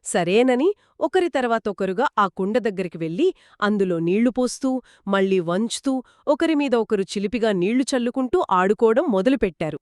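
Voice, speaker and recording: Higher-voiced woman telling children's stories. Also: moderate pace, dry recording, studio quality. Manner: expressive, engaging, acts out characters' quoted lines. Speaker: co-narrator of a children's story. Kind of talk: conversational two-person storytelling for children.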